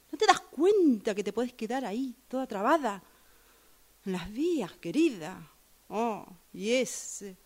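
A woman's voice: a little high, darkish tone, husky, exaggerated voice. A woman with a Rioplatense Spanish accent playing a judgmental commuter in a radio drama monologue, musing dramatically as she waits. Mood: catty, exasperated. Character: judgmental woman waiting for a train, brooding over passers-by.

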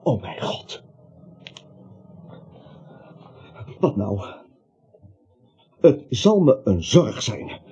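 An actor in a Dutch radio play, playing a bewildered, grumbling everyman with a husky voice and hesitant, plaintive questions. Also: mid-range voice, sing-song, slow, dark tone, a little hiss or hum. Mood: grumbling, fretful. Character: bewildered, anxious, grumbling everyman dragged into a manhunt. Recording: dull, muffled radio play recording.

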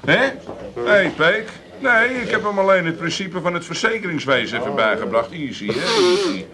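Animated, glib Amsterdam schemer. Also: male voice, sits high, moderate pace, creaky, noisy background, heavily acted. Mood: coaxing. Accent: Amsterdam Dutch. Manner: glib, insistent. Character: slick, persuasive, shady Amsterdam schemer. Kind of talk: comic radio play acting.

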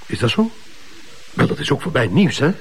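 An actor playing a pompous, evasive police chief with a Flemish accent and a bossy manner. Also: male voice, mid-range voice, very animated, a little fast, vocal fry, slightly rough, full character voice. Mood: irritated, defensive. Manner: bossy, defensive, interjecting tags. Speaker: actor in a police drama series.

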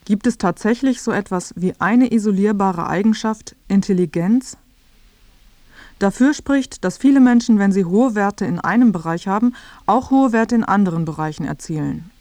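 Female feature narrator, mid pitch, explanatory scripted read, very dry studio sound. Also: a little mellow, no echo.

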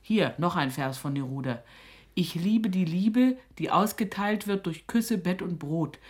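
Actress as a stern, sharp-tongued middle-aged mother. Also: lowish voice, a little slow, lightly acted. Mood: mocking, warning. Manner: scolding, sarcastic, earthy and emphatic. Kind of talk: radio drama acting.

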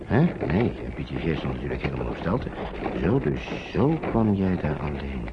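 An actor playing a kindly, protective man coaxing refugee children in a halting, avuncular way, in a radio drama. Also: extremely low pitch, very animated, full character voice. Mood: reassuring. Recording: dull, noisy old radio recording.

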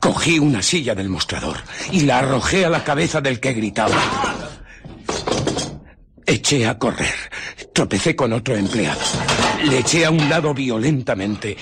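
An actor with a Castilian Spanish accent playing an agitated invisible scientist recounting his ordeal in a radio drama, moving theatrically between hushed murmurs and outcries. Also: mid-range voice, expressive, moderate pace, very noisy, natural voice. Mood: agitated, urgent.

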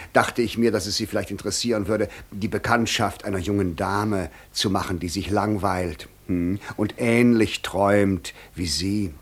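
A man's voice: on the low side, lightly acted. Radio play: male actor as a courteous, sly devil, ironic, silky-mannered and mocking. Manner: sly, ironic, theatrically polite. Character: suave, mocking devil posing as a courteous gentleman. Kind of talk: classic German radio play acting.

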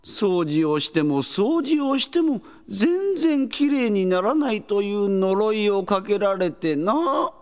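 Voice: medium pitch, big pitch swings, slow pace, few pauses, a little mellow. A performer playing a weary, pompous old castle ruler in a Japanese comedic audio drama, with old-fashioned, hesitant speech. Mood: resigned, explaining. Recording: long reverberant castle-hall effect.

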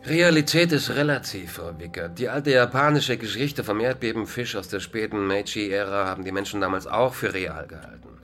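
An actor playing a resigned, pessimistic philosopher in a radio drama interview, lecturing in a ponderous intellectual manner. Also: male voice, lowish voice, expressive, moderate pace, hardly any pauses, a bit bright. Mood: musing. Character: gloomy, resigned male philosopher and culture critic.

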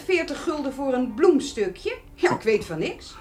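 An actress playing a skeptical, questioning middle-aged farmer's wife in a radio play. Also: female voice, high-pitched, big pitch swings, a little slow. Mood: skeptical, reproving. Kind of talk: Dutch radio drama acting.

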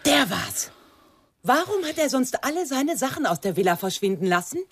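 An actress playing a lively, affectionate, gossipy friend with a familiar manner in a radio crime drama. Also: female voice, sits high, normal speed, slightly rough, no echo, full character voice. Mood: familiar, curious. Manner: animated, familiar. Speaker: actor.

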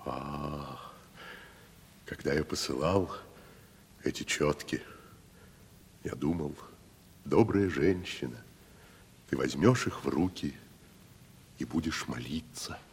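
An actor playing a pompous, worldly wandering gentleman who declaims theatrically in a classic Russian radio drama. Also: rumbling low, animated, takes it slowly, long pauses, a little hiss or hum, heavily acted. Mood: solemn, lofty. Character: worldly, theatrical wandering gentleman, grandiloquent nephew of a rich landowner. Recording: long hall reverb.

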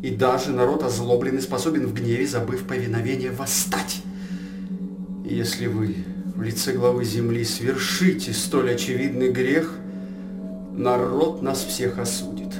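An actor playing a cautious, legalistic royal chancellor in verse radio drama, declaiming formally and deliberately. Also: male voice, medium pitch, fairly even intonation, loud music behind the voice, close to an everyday voice. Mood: gravely reasoning. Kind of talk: classical verse stage drama. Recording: roomy, distant radio-play recording.

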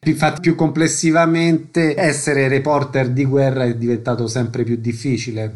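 A man's voice: medium pitch, little pitch movement, normal speed, darkish tone. A man presenting a radio programme as its host, in a scripted presenting style.

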